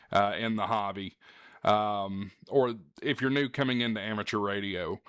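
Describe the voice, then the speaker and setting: A man's voice: mid-range voice, fairly bright tone, no room sound, studio quality. A man hosting a hobby show, talking casually and directly to the listener in an earnest, encouraging way with emphasis on key words. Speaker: host of a ham radio show. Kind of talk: casual direct-to-camera talk.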